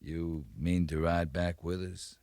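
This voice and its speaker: An actor playing a steady, laconic American cavalry captain with a measured, understated manner in a 1950s radio western. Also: male voice, deep voice, no echo. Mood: calm, negotiating. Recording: vintage 1950s radio recording.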